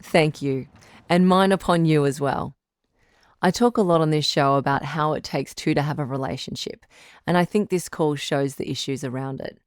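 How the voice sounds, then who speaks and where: Low female voice, caring radio doctor played by an actress, measured, articulate, reassuring, advice-show drama. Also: smooth, no echo, clean studio sound, lightly acted. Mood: gentle, encouraging, then composed sign-off.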